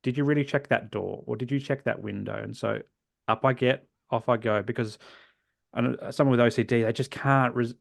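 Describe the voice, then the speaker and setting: An Australian man in his forties, a guest in a podcast interview, telling his own story earnestly and reflectively, often trailing off softly at the ends of phrases. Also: mid-range voice, steady tone, fairly quick, vocal fry, dry recording, studio quality. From South Australia. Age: 40s.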